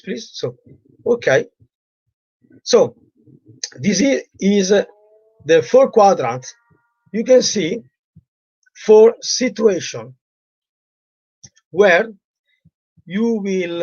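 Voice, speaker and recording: Slow, hesitant man with a cold. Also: high-pitched, darkish tone, dry recording, muffled recording. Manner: halting, many fillers, non-native grammar. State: has a cold and sore throat. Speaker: relationship coach. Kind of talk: live-streamed solo talk.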